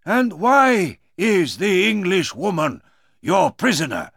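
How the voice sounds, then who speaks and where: Guy doing an old chief for a story, high creaky voice, real slow n stern. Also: wildly swooping pitch, fairly bright tone, dry recording, studio quality, full character voice. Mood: stern, questioning. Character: old chief Tamenund, solemn, questioning elder leader.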